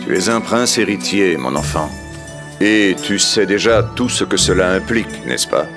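A man's voice: sits low, normal speed, over music. A man playing a regal middle-aged king and concerned father in a French cartoon dub, speaking formally and emphatically. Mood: fatherly, instructive. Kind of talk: French animated film dub.

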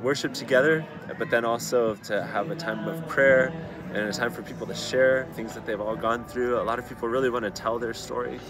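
A man's voice: mid-range voice, fairly even intonation, fairly quick. A man in his thirties who grew up in Ukraine, speaking earnestly about ministering to refugees. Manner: earnest, thoughtful. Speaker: missionary worship leader. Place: grassy yard outdoors. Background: music.

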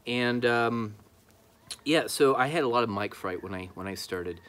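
A man's voice: medium pitch, moderate pace, a bit bright. A man in his thirties talking casually to camera, explaining his hobby as a video host outdoors. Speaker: YouTube host, amateur radio hobbyist. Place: outdoors in a backyard.

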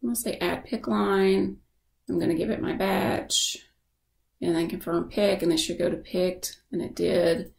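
A woman giving a casual software tutorial as a screen-share voice-over, explaining informally and thinking aloud. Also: fairly low pitch, fairly even intonation, moderate pace, creaky, echoey room. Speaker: software instructor.

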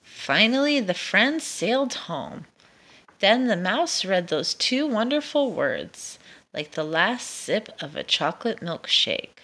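A woman reading a children's picture book aloud as a storytime narrator, expressive and deliberate. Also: medium pitch, a little hiss or hum. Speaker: storytime reader.

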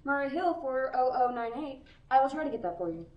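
A woman's voice: sits high, fairly quick. Girl as a polite, formal phone operator. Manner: calm, polite and by-the-book. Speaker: student actor. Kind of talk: school radio play acting. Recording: dull, muffled recording.

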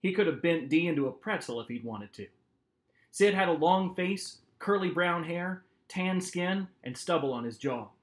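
A man in his forties reading a novel aloud as its author, with expressive, dramatic narration. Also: a little high, moderate pace. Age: 40s. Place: small home office, indoors.

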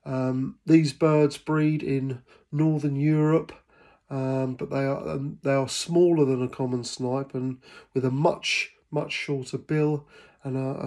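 A man's voice: mid-range voice, dark tone. A middle-aged man from England narrating his birding vlog as a casual voice-over, enthusiastic and hesitant, with many ums and uhs. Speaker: birding vlogger.

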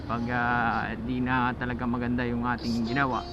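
A man with a Filipino accent chats casually to his viewers, halting often with pauses and fillers, recorded through a motorcycle intercom mic. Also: mid-range voice, monotone, muffled recording. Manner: halting, hesitant delivery with frequent pauses and fillers. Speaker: motovlogger. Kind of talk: casual vlog talk to viewers. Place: outdoors on a motorcycle. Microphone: DIY cable from motorcycle intercom to action camera. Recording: band-limited intercom mic, loud music behind. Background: music, vehicle noise.

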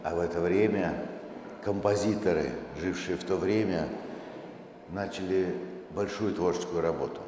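A middle-aged man giving a measured public presentation from a stage, with halting pauses. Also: low-pitched, fairly even intonation. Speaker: presenter, museum director. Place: seated on stage in a large dark hall. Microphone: handheld microphone through hall speakers, far camera. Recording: very echoey hall with faint noise.

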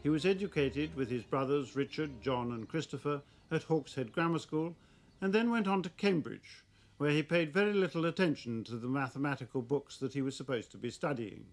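A man in his fifties with an English accent presenting a documentary in an articulate, scholarly, measured way. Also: a little high, soft background music.

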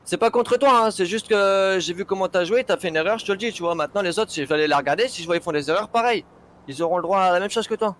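A man's voice: sits high, fast talker. A man explaining himself insistently and casually as a game server admin in voice chat.

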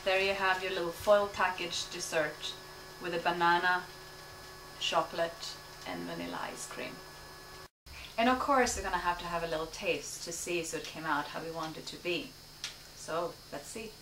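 A woman in her thirties from Sweden hosting a home cooking show, calmly explaining each step as she cooks in her kitchen. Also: fairly low pitch, normal speed. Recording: echoey kitchen, distant mic, noisy.

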